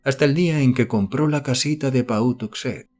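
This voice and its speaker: A man narrating a horror story as an audiobook, reading in a measured, literary storytelling manner. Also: medium pitch, darkish tone, soft background music. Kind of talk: scripted narration of a horror novel.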